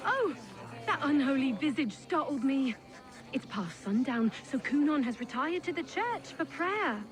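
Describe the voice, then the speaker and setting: A woman's voice: sits high, very animated, measured pace, faint music behind. A woman playing a prim, fussy and dismissive townswoman in a video game. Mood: fussy disapproval. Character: prim, scolding townswoman, disdainful festival official. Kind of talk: video game character dialogue.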